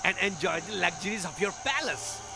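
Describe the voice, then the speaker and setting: A man's voice: sits high, expressive, fairly quick, vocal fry, rough recording. A man voicing a taunting ghost storyteller in a children's cartoon, mocking and theatrical, with music behind. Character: taunting male ghost Betal, storyteller spirit. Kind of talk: animated children's story acting.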